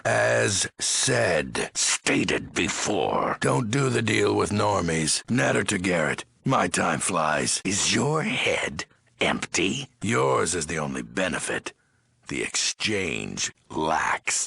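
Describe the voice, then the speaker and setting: An actor voicing a menacing, weary ghoul overlord in a video game, raspy and gravelly, speaking in terse, commanding threats with odd broken syntax. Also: male voice, sits low, sharp tone, dry recording, exaggerated voice. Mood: threatening, bargaining. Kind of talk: video game character voice acting.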